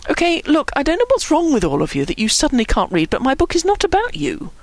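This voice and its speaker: A woman's voice: medium pitch, very animated, a little fast, no echo, lightly acted. A woman voicing an indignant, boastful boy in a story, protesting emphatically.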